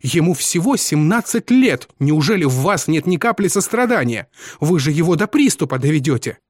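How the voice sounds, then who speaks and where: Man voicing an indignant, sharp-tongued protective mother. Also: on the high side, sing-song, normal speed, no room sound, studio quality, voice pushed up. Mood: outraged. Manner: sharp, exclamatory, scolding. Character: indignant, fiercely protective mother of a teenage son. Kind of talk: dramatised audiobook dialogue.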